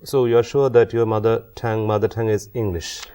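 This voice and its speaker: Man from India, interviewer, halting questions, repeats words, lowish voice. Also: steady tone, dark tone, smooth.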